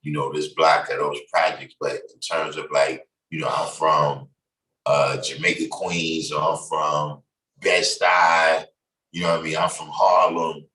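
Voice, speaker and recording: A very low-voiced New York man talks in a loose, rambling way, full of fillers. He sounds set back from the microphone in an echoey room. He is middle-aged and hosts his own livestream. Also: level tone, unhurried, sharp tone, slight background noise. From New York City, Bronx. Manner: loose, conversational, many fillers. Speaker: livestream host. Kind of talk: casual livestream talk. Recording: echoey room, distant from mic.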